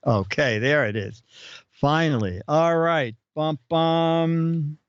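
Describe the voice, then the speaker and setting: A chatty man hosts a casual live stream and banters with his guest as he reads off viewer questions. His voice sits a bit high for a man. The sound is dry and dull, like a low-fidelity stream. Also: slow pace, clean tone, dry recording. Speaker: show host.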